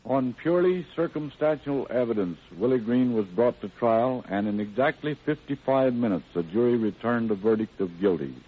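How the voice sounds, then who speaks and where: A man's voice: medium pitch, animated, normal speed, few pauses, breathy edge. A man speaking as a sheriff, giving a scripted case summary on 1930s radio. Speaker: county sheriff, guest speaker. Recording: tinny, narrow old radio recording.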